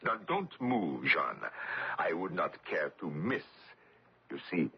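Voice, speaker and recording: On a dull, hissy old radio recording, a cruel interrogator and collaborator. His low voice swings widely in pitch. He is pompous and menacing, drawing out his words with theatrical relish. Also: sing-song, husky, full character voice. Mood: gloating cruelty. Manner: imperious, menacing, deliberate theatrical phrasing. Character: cruel, pompous male French collaborator interrogator, sadistic and sneering. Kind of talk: 1970s radio drama acting.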